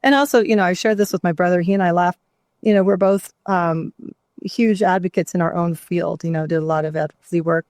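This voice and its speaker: A middle-aged woman from New Mexico, a somatic therapist talking reflectively in an interview, with many pauses and ums. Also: fairly low pitch, a little mellow, no echo, clean studio sound. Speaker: guest, somatic therapist and author. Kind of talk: candid interview conversation.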